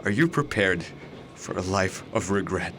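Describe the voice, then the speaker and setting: An actor in a radio drama playing a mysterious older alternate self, fatalistic and persuasive, speaking in a deliberate, ominous way. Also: male voice, deep voice, lively intonation, fairly bright tone, a little hiss or hum. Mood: grave, foreboding.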